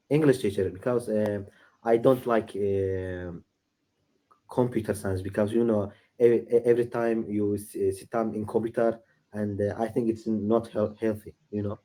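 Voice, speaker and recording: A young man from Iraq, practicing English on a video call, halting and stopping often to search for words. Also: on the low side, fairly even intonation, mellow. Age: late teens. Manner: halting, many uh fillers and restarts. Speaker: English learner. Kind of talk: casual video-call conversation.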